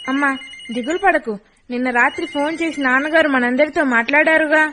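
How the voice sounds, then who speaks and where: An actress playing the playful younger daughter of the family in a radio play. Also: female voice, high voice, fast talker, sharp tone, slight background noise. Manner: playful, pleading. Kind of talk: radio drama acting.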